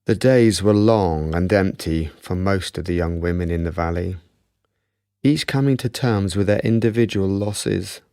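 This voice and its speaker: A man's voice: low voice, measured pace, dark tone, smooth, no room sound, studio quality. A man narrating a radio drama as a grave, tender storyteller of a wartime tale.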